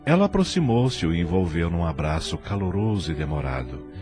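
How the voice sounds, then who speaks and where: A man narrating an audio drama from a script in an expressive, literary storytelling manner. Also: deep voice, a little fast, music in the background.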